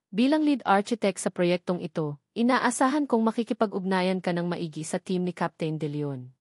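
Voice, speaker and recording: A woman's voice: medium pitch, darkish tone, no echo, studio quality, natural voice. A Filipino-accented woman voicing a stern, authoritative female project director in an audio drama story.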